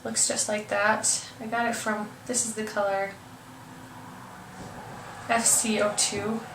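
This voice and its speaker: A woman's voice: medium pitch, flat delivery, slow. A young woman with a congested, stuffed-up voice talks casually and hesitantly, apologizing often as she works through a makeup tutorial. She sits some distance from the camera mic in a home room that rings with echo, and a faint hiss runs underneath. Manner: chatty, hesitant with many ums, apologetic. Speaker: beauty vlogger.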